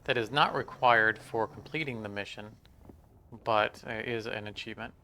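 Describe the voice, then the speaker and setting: A man's voice: fairly low pitch, normal speed, pushed and bright, a little hiss or hum. A man hosting a gaming video, giving a casual, informal, explanatory walkthrough. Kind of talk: casual game walkthrough commentary.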